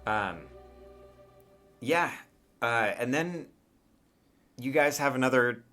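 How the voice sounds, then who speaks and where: A man's voice: medium pitch, expressive, slow, sharp tone, faint music behind. A man narrating an improvised tabletop game as game master, conversationally, often checking in with his players.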